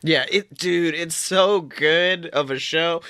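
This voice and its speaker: A young man co-hosting a podcast, chatting casually and playfully with lively, mock-outraged reactions. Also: a little high, slow, smooth, dry recording, clean studio sound. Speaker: podcast co-host. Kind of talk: casual chat.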